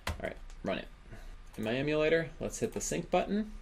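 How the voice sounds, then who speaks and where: A man giving a software tutorial as an instructor, thinking aloud casually and checking in with "right?". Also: on the high side, very animated, brisk, creaky, a little hiss or hum. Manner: casual, informal explaining, often ends phrases with "right?".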